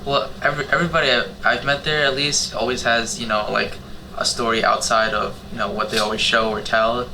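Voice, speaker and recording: A man's voice: mid-range voice, flat delivery, brisk, gravelly, background noise. A nineteen-year-old man, talking casually and a little hesitantly on a panel. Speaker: youth volunteer organizer.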